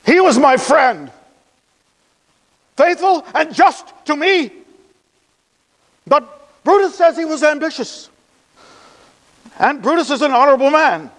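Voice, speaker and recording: In a long, reverberant hall, an impassioned Roman funeral orator in a classical stage monologue. His voice sits extremely high for a man and moves at a slow, deliberate pace. He declaims with weighted pauses, mixing grief with bitter irony. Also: animated, a bit bright, full character voice. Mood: grieving, ironic.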